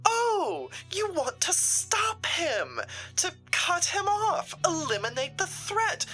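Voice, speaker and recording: A woman's voice: extremely high pitch, big pitch swings, slow pace, sharp tone, faint music behind, heavily acted. A woman playing a haughty, sardonic, ruthless occult shopkeeper in a theatrical, condescending drama style. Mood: mocking. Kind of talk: dramatic audio roleplay acting.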